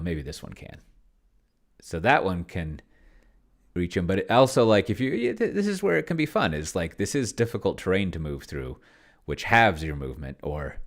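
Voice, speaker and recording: A man giving casual think-aloud commentary on a solo tabletop game, rambling and musing to himself as he goes. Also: low voice. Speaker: solo tabletop role-player and host.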